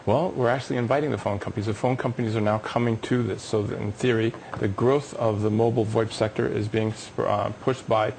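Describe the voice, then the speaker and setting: A man's voice: fairly low pitch, steady tone, a little fast, creaky, hardly any pauses, a little mellow, a little hiss or hum, rough recording. A man being interviewed as a company executive on a live business TV show, explaining technology with emphatic enthusiasm.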